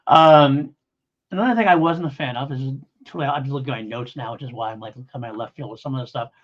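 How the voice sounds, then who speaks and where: Male review co-host: conversational, meandering explainer, agreeable, average pitch, somewhat muffled recording. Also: fast. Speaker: podcast co-host. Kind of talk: casual audio drama review.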